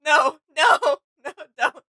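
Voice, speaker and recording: A woman's voice: high voice, expressive, takes it slowly, pushed and bright. A woman hosting a reaction video, chatting casually and off the cuff in a giggly, self-mocking way.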